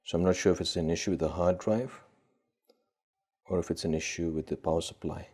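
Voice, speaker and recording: A man with a husky voice giving casual, tentative unboxing commentary, thinking aloud as host. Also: low voice, steady tone, a little fast, darkish tone. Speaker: gadget collector and video host.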